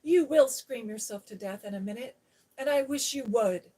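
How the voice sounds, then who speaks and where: A woman voicing a fierce, bossy little girl who shouts and scolds. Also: mid-range voice, steady tone, takes it slowly. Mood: furious. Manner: shouting, commanding.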